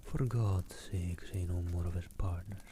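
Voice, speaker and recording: A man's voice: low voice, steady tone, measured pace, noisy background. A man whispering an impatient weary husband.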